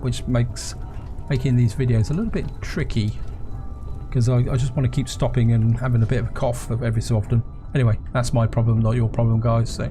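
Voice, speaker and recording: Brief: male gameplay host, croaky with a cold, relaxed chatty commentary, middle pitch. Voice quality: croaky, gravelly voice. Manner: chatty, addresses viewers as guys. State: hoarse, sore throat. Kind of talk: casual let's-play commentary. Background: game music.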